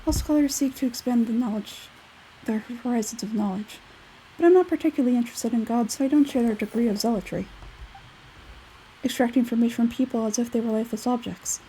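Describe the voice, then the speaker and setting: Woman playing a cool, mellow, aloof male scholar. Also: fairly high pitch, a little hiss or hum, natural voice, low-quality recording. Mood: cool, disapproving. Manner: measured, detached, matter-of-fact. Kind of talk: video game character dialogue read aloud.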